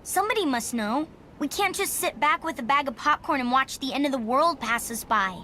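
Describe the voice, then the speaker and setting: High, bright, feisty daughter. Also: female voice, animated, fairly quick, slight background noise. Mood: exasperated. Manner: sassy, urgent, pleading. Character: feisty, impatient young daughter, bold and stubborn. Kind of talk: video game cutscene dialogue.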